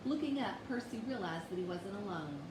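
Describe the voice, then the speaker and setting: Expressive woman storyteller in an echoey room. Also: medium pitch, brisk. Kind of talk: children's bedtime story read aloud. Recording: echoey room, distant mic, noisy background.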